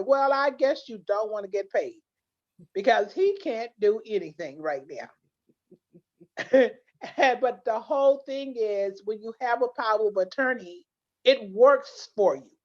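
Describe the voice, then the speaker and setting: A woman, a guest in an interview, telling earnest stories with many restarts. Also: on the high side. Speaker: guest, legal plan representative. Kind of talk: interview conversation. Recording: dull lo-fi sound.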